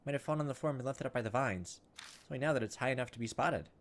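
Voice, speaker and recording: A man's voice: mid-range voice, racing, slight background noise. A man doing gameplay commentary, casually thinking aloud and reading visual novel text as he goes. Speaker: video game playthrough host.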